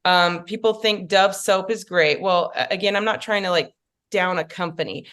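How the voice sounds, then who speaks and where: A woman's voice: on the low side, normal speed, a bit bright. A woman makeup artist, chatting casually as a podcast guest with many fillers and asides.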